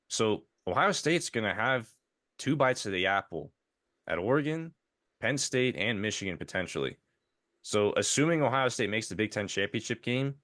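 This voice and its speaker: A man's voice: on the low side, fairly bright tone, no room sound, studio quality. A man co-hosting a casual sports podcast, talking with frequent restarts, his voice tired. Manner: stammering restarts, conversational. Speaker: podcast co-host.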